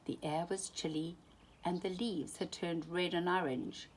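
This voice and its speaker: A woman reading a children's story aloud in an animated, dramatic way, often dropping into hushed tones. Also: fairly low pitch, unhurried. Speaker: storybook reader.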